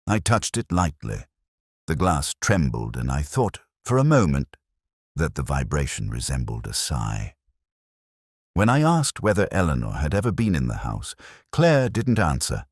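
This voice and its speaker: A man voicing a wry, literary middle-aged male detective, narrating a mystery in a measured, reflective first person. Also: a bass voice, dry recording, clean studio sound, exaggerated voice. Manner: measured, reflective, quietly observant.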